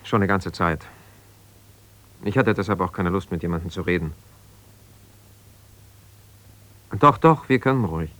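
An actor playing an ambitious, self-justifying lawyer in a German radio drama, speaking haltingly and conversationally as if on the phone. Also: male voice, low-pitched, lively intonation, fairly bright tone, dry recording. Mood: downcast. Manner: conversational, halting, with repeated phrases and pauses.

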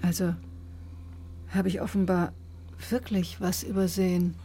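A woman's voice: lowish voice, little pitch movement, measured pace, dark tone, breathy edge. Probing, matter-of-fact woman doctor. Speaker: doctor accused in a criminal case. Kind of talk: German radio drama acting.

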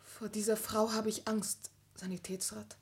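An actress playing a gentle, earnest, anxious young gentlewoman in a classic radio play, soft and formal. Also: mid-range voice, level tone, a little slow, natural voice. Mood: shy, uneasy. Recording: echoey, distant, noisy old radio recording.

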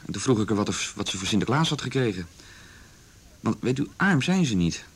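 An actor playing an earnest young son in a radio drama, telling what he witnessed in a halting, sincere, plain-spoken way. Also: lowish voice, big pitch swings, slightly rough, exaggerated voice. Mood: uneasy, earnest. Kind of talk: naturalistic radio drama dialogue.

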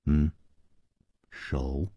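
A man voicing a cold, sinister, contemptuous assassin in a wuxia audiobook, with a dry husky voice and quiet menace. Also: extremely low pitch, little pitch movement, no echo, lo-fi sound, pitched below the performer's own voice, exaggerated voice. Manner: icy, unhurried, understated menace. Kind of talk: Chinese wuxia audiobook acting.

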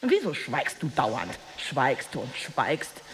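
A woman's voice: extremely low pitch, huge pitch swings, slow pace, full character voice. An actress in a radio drama playing a jealous, bitter married woman, a taunting and volatile rival delivering a theatrical monologue. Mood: triumphant scorn. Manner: emotionally volatile, theatrical, swinging between hushed and outburst.

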